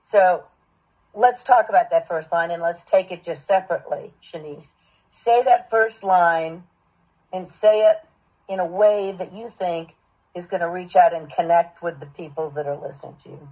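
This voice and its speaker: Woman, husky voice, voice acting coach, encouraging, explanatory, giving feedback, muffled lo-fi sound. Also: fairly low pitch, normal speed, dark tone. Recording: dull, lo-fi sound.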